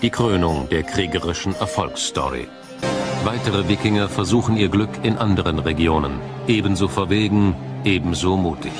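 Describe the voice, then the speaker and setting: A man reading a scripted, dramatic documentary narration in a measured, solemn storytelling manner. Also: sits low, loud music behind the voice.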